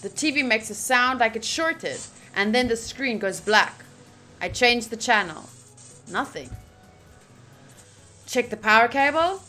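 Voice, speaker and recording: A woman streamer reading a visual novel's narration aloud during a playthrough, with expressive, gushing asides. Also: a little high. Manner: expressive, gushing asides between read passages.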